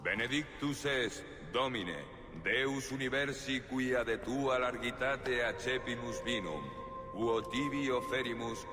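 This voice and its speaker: Actor as solemn priest in long reverb. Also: male voice, medium pitch, unhurried, close to an everyday voice. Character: solemn male priest reciting liturgy. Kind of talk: solemn liturgical recitation. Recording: long church-like reverb, loud music.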